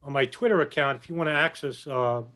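Measured, hesitant male podcast guest. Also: on the high side, a bit bright. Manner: measured and hesitant, with frequent "uh" pauses. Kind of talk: casual podcast interview.

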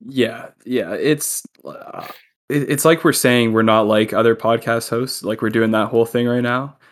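A man's voice: mid-range voice, steady tone, measured pace. A young man, a guest on a podcast, joking and telling stories in casual chat while recording remotely over a call. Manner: chatty storyteller, joking.

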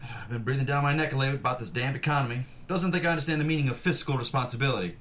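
A man's voice: mid-range voice, very fast, a bit bright, a little hiss or hum, lightly acted. A man acting a pompous, condescending, wealthy railroad tycoon at a script table read. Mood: self-satisfied, commanding.

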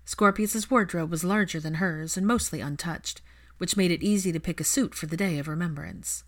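A woman's voice: lowish voice, normal speed. A woman reading fiction aloud as a scripted audiobook-style narration.

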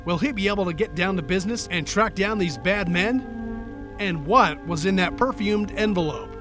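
American guy announcing an old style radio serial, high voice, music loud underneath. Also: normal speed. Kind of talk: scripted old-time radio serial narration.

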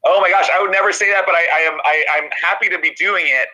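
A man in his thirties with a nasal, very bright voice sitting slightly high. He talks casually as a podcast guest, stumbling through fillers and restarting sentences. The sound has a radio-like quality. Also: steady tone, poor audio. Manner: halting, many restarts and fillers. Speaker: TV comedy writer, guest. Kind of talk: casual interview chat.

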